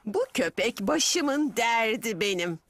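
Cranky cartoon grandma, highish voice that swings up and down, exasperated. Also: female voice, animated, takes it slowly, a bit bright, a little hiss or hum, exaggerated voice. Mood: grumpy, exasperated. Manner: complaining, exasperated. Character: grumpy grandmother fed up with the dog. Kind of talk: animated children's cartoon dub.

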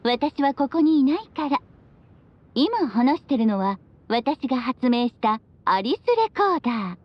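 A woman's voice: high voice, big pitch swings, measured pace, breathy edge, no room sound, highs cut off, full character voice. A woman playing a playful, free-spirited witch mother in a Japanese anime game, teasing and affectionate. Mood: teasing, breezy.

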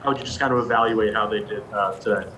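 A man's voice: fairly low pitch, fairly even intonation, fast, bright, forceful tone. A man working as a reporter, asking questions at a press conference. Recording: faint background noise.

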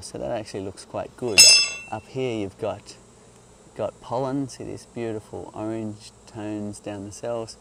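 Low-pitched aussie guy showing his hives outside, gentle and easy, faint bee buzz in the background. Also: slight background noise, poor audio. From Australia. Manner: calm, gentle, explanatory. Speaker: beekeeper host. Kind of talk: live outdoor beekeeping demonstration and Q&A. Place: outdoors on a hillside among beehives. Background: faint outdoor ambience and buzzing bees.

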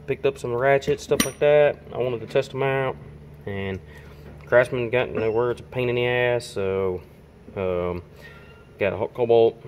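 A man's voice: medium pitch, slight background noise. A man giving a rambling, informal walkaround tour of his home garage workshop, speaking into a handheld camera. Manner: rambling, informal, with fillers and restarts. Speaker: garage vlogger.

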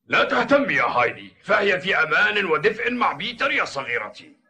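An actor playing a kindly, gruff old mountain grandfather in an Arabic-dubbed children's cartoon, theatrical and paternal. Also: sits high, big pitch swings, brisk, bright, forceful tone, heavily acted. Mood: reassuring, teasing.

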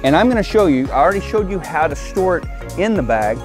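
A man's voice: a little high, big pitch swings, fast. A man in his forties giving a casual, plain, step-by-step instructional walkthrough in short phrases as a product demonstrator. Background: music.

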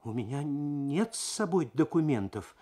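A man's voice: on the high side, animated, unhurried, mellow. A frightened, flustered woman in a radio crime story, played by a male reader. She falters and stammers, sounding intimidated and unsure of herself.

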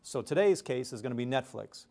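A man's voice: medium pitch, lively intonation, fairly quick, vocal fry, dark tone. A middle-aged man, a professor, teaching informally and explaining step by step in a conversational way in a classroom. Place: tiered university classroom.

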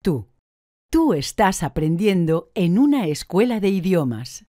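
A woman answers drill questions very slowly, with a mellow, lowish voice and lively rises and falls in pitch. Every word is carefully articulated. The recording is close and dry. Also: no echo. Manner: slow, deliberate, clearly articulated answers. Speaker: language course speaker.

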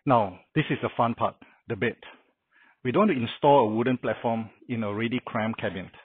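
A middle-aged man shows off a car fitted for camping, talking plainly and pausing on uh fillers. His voice is a little husky and sits at an ordinary pitch. The sound is thin and dry, as if caught on a phone. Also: dry recording, narrow, tinny audio. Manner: plain, instructive, with frequent uh fillers. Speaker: vlogger demonstrating a car camping setup. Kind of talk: casual how-to walkthrough. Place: outdoor carport beside a house.

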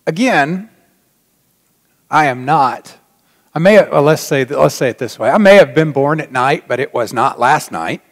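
A middle-aged man, a pastor addressing his congregation informally in a folksy, dry manner with long pauses, in a large church. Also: on the high side. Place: large church sanctuary, indoors. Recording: reverberant church hall.

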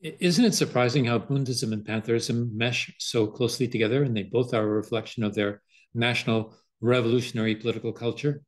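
An older man from Canada whose first language is Yiddish, speaking slowly and thoughtfully on a video call with frequent hesitations. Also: medium pitch, steady tone. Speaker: interview guest. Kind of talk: measured conversation. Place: bright room with windows, indoors. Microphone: video call webcam.

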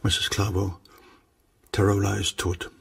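An actor playing a grave, insistent investigator in a radio play, speaking in a sober, restrained and firm way. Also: male voice, fairly low pitch, monotone, a little slow, vocal fry, husky, distant mic, full character voice.

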